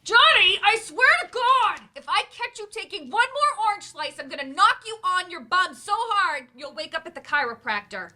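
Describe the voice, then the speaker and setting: A woman in a staged play reading, acting a brisk, chatty, good-hearted bartender and night manager. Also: very high voice, big pitch swings, sharp tone, exaggerated voice. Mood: exasperated.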